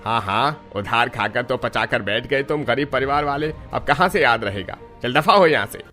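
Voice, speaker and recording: A man's voice: mid-range voice, animated, moderate pace, sharp tone, faint music behind. A man playing a rude, miserly grocer who scorns poor customers, in a cartoon story. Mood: sneering, scolding. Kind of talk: Hindi cartoon story voice acting.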